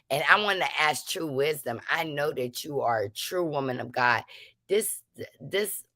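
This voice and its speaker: A woman host with a very low, gravelly voice that still cuts through with a bright, forceful tone. She delivers commentary emphatically and lectures a little, in a dry, close recording. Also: no echo. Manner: emphatic, preachy warnings. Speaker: show host. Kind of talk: casual commentary chat.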